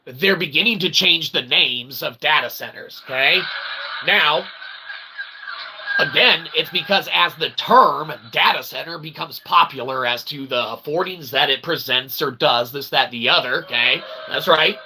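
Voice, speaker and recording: A man's voice: a little high, measured pace, background noise. A man hosting a livestream rant, profane, emphatic and repetitive, with wordy, mock-formal phrasing. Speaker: host of a livestream rant show.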